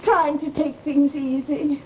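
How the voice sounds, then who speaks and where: A woman's voice: high-pitched, level tone, takes it slowly, lo-fi sound. An actress playing a fussy, sentimental landlady in an old radio drama, plaintive, folksy and easily flustered. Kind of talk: 1940s radio drama acting. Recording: hissy old radio recording.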